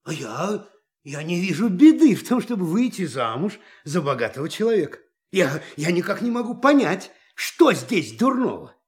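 Casting: pompous middle-aged TV pundit and bickering father, high voice, very lively delivery, radio play. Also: moderate pace. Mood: indignant. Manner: pompous, stammering repetitions when arguing. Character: vain, argumentative TV news commentator and father. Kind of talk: Russian audio play acting.

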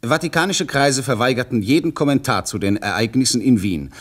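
An actor playing a formal, sober radio newsreader delivering 1960s bulletins. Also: male voice, mid-range voice, lively intonation, a little fast, close to an everyday voice. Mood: sober, official. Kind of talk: 1960s news bulletin reading.

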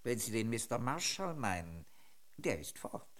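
A man's voice: mid-range voice, sing-song, a little slow. An actor in a German radio drama playing a knowing, deferential carpenter who answers his boss in a terse, guarded way.

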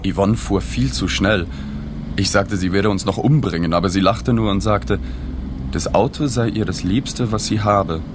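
A man's voice: on the low side, moderate pace, heavy background noise, lightly acted. An actor playing a melancholy journalist in a literary radio drama, telling his story in a sober, restrained way. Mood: sober narrating. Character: subdued, melancholy male journalist narrating his own story. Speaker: narrator.

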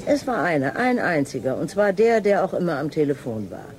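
Determined, lively police inspector. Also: female voice, sits low, fairly quick. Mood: brisk, determined. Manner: commanding, matter-of-fact. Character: determined, brisk female police chief inspector. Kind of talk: German radio drama acting.

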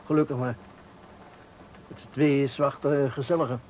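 A man's voice: mid-range voice, steady tone, normal speed, a little mellow, lightly acted. Radio thriller role: male actor as irritable, uneasy motorist stuck at night, defensive, faintly noisy recording. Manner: hesitant at times, testy, defensive. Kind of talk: 1980 Dutch radio thriller acting.

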